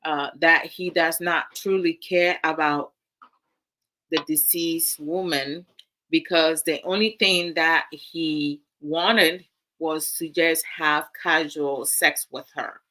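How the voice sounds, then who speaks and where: A woman's voice: deep voice, fairly even intonation, takes it slowly, pushed and bright. A woman from Ghana, giving casual commentary to her live viewers in a musing, hesitant way with many fillers. Her is Twi. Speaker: vlog host.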